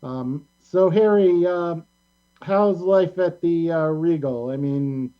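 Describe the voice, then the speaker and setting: A man's voice: fairly high pitch, slow pace. A man hosting a casual group chat. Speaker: host. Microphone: video call. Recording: muffled online call with hum.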